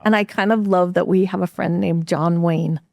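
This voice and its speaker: Low-voiced earnest woman, dry studio. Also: fairly even intonation, moderate pace, creaky, a little mellow, dry recording. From United States. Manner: earnest and upbeat, explains at length with restarts. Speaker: executive director of a refugee nonprofit, podcast guest. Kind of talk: conversational podcast interview.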